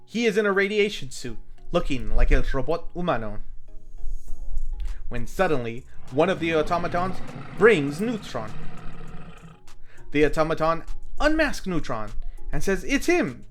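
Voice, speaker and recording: A film review host delivers a wry, scripted recap with comedic timing. The mid-pitched voice swings widely in pitch, with music playing underneath. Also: measured pace, pauses often. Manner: wry, animated storytelling with acted quotes.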